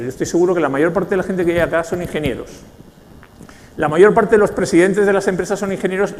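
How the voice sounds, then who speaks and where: Fast, wry Spanish man in a hall. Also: sits high, slight background noise. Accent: Spanish, from Spain. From Spain, Basque Country. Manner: ironic, joking, asks the audience questions. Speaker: conference speaker. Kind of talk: keynote talk to a business audience. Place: large event hall.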